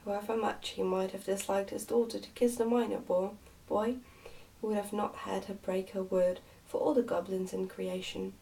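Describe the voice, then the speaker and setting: Woman doing soft asmr book reading, mellow voice w some fry, mic a bit far off. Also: mid-range voice, little pitch movement, moderate pace. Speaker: ASMR reader. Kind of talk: soft ASMR storybook narration.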